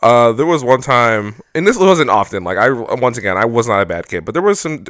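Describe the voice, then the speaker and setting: A man's voice: medium pitch, sing-song, fairly quick, fairly bright tone, dry recording, studio quality. A young man co-hosting a podcast, telling stories from growing up with playful joking asides. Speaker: podcast co-host. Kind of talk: casual chat.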